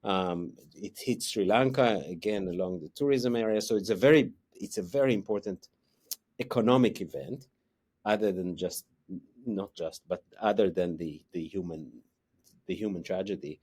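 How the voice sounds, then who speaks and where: Israeli-accented middle-aged professor, very low voice, halting guest answers. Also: male voice, darkish tone, slightly rough. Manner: halting, many fillers and restarts. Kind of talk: interview answers.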